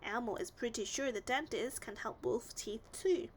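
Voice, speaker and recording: A woman's voice: on the high side, normal speed, a little hiss or hum, natural voice. A woman voicing a cheerful, eager little monster child in a storybook reading. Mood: eager, helpful. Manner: childlike, eager, speaks of self in third person.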